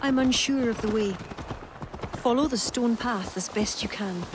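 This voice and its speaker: A woman's voice: on the high side, unhurried, close to an everyday voice. An actress playing a loyal young woman guiding a friend, with an earnest, urgent way of talking. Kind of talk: video game dialogue. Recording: clear background noise.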